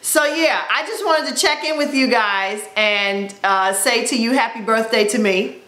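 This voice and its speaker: A woman's voice: on the high side, unhurried, fairly bright tone. Upbeat woman in her forties, echoey room. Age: 40s. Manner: upbeat, playful, exclaiming. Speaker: vlogger. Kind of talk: casual chat to camera. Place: indoors at home, plain wall. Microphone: camera in front of her.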